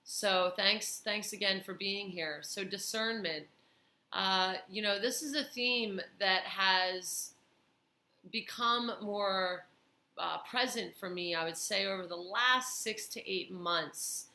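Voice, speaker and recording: A tired woman talking slowly and thoughtfully in a personal vlog, pausing often with fillers. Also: mid-range voice, sharp tone, echoey room. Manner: slow, thoughtful, with many pauses and fillers. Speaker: vlogger.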